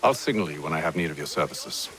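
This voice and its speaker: A deep, measured commander. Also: male voice, lively intonation, fairly bright tone, rough recording. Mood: commanding. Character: calm, authoritative male officer commanding his men. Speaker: commander leading an ambush. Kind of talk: video game cutscene dialogue.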